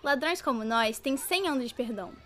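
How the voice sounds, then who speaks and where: A woman with a Brazilian accent playing a bold, mischievous young girl in an animated, cocky way for a radio drama. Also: high-pitched, a little fast, slight background noise. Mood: cocky, persuading.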